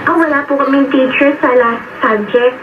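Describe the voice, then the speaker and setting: In a distant, roomy and noisy radio recording, a woman plays a humble teenage schoolgirl with a high Filipino-accented voice. She is earnest and deferential, speaking with open feeling. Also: moderate pace, phone-quality sound, natural voice. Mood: grateful, polite. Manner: polite, deferential, emotive. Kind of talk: Filipino radio drama acting.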